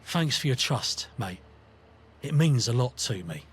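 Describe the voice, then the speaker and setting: An actor playing a conflicted, earnest British rebel in a video game, talking in a matey, reflective, colloquial way. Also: male voice, medium pitch, big pitch swings, unhurried, breathy edge, exaggerated voice. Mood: grateful, reflective. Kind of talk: scripted video game companion dialogue.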